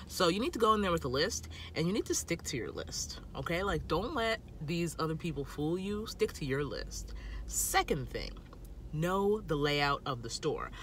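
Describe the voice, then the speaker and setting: A woman's voice: low voice, very animated, moderate pace, slight background noise. A woman in her thirties giving casual tips to camera from inside a parked car, emphatic and talking with her hands, filmed on a phone at arm's length. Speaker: food vlogger.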